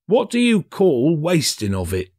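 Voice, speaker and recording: A man's voice: on the high side, wildly swooping pitch, a little slow, darkish tone, voice pushed up, heavily acted. A man voicing a grasping, sly old dealer in stolen goods in an audiobook, with a wry, haggling drawl. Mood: sly, incredulous.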